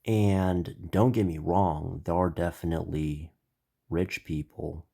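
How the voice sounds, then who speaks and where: Very deep, gravelly man with a stuffy nose. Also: darkish tone. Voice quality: slightly nasal. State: stuffy, congested. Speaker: channel host. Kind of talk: spiritual explainer monologue.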